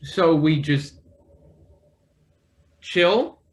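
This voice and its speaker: A nervous young intern acted by a man in a satirical stage play. His voice is on the higher side, and he speaks hesitantly and awkwardly, with some cheek under the nerves. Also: animated, very slow. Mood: wary, wry. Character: nervous but cheeky young male intern, new worker. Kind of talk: live table read of a satirical play.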